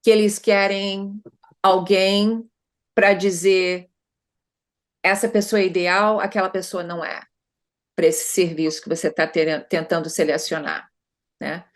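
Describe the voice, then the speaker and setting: A woman's voice: lowish voice, little pitch movement, unhurried, pauses often. A middle-aged Brazilian woman consultant speaking conversationally in an interview, often adding tag questions and restarting her sentences. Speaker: consultant guest.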